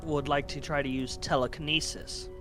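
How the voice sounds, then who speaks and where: A man with a slightly high voice talks casually as a player in a tabletop game. He is polite and hesitant, with clear music in the background. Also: flat delivery, normal speed.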